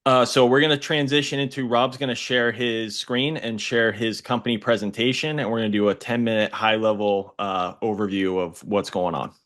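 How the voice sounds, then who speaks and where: A man's voice: fairly low pitch, fairly even intonation, normal speed, hardly any pauses, fairly bright tone. A man hosting an investing podcast, reading a polished scripted introduction. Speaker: podcast host.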